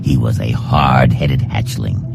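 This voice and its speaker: Very deep, gravelly reptilian warrior. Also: male voice, steady tone, over loud music, exaggerated voice. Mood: reflective, measured. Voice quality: gravelly, growling. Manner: measured, formal, stern. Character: hard, proud male Argonian reptilian assassin combat trainer. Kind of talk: fantasy game character dialogue.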